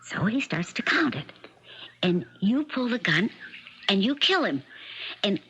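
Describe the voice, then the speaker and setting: An actress playing a domineering, shrewd and demanding wife in a 1970s radio mystery drama, with a hard-edged, commanding way of talking. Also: female voice, mid-range voice, big pitch swings, a little slow, fairly bright tone, slight background noise. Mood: scheming, instructing. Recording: dull, band-limited 1970s radio broadcast.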